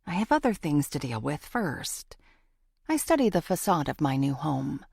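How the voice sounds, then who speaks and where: A woman's voice: sits low, sing-song, normal speed, gravelly, darkish tone, breathy edge, no echo, clean studio sound. A woman narrating a first-person thriller audiobook from a script.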